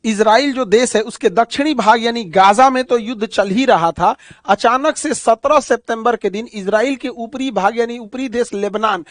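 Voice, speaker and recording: Guy teaching current affairs, pretty high voice, dry close sound, chatty and repeats himself. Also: normal speed, dry recording. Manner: colloquial, explains with everyday examples, repeats phrases. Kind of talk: explanatory current affairs lecture.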